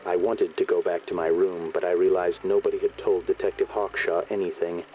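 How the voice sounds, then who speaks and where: A man's voice: low voice, little pitch movement, a little fast, darkish tone, a little hiss or hum, close to an everyday voice, low-quality recording. An actor voicing a plain-spoken, subdued man giving testimony in a video game.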